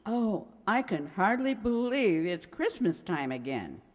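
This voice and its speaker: A woman's voice: mid-range voice, big pitch swings, unhurried. A woman playing a sweet, forgetful elderly landlady who dithers and rambles in a radio comedy. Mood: fondly reminiscing. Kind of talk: radio comedy acting, old-time sitcom revival. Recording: very reverberant hall sound.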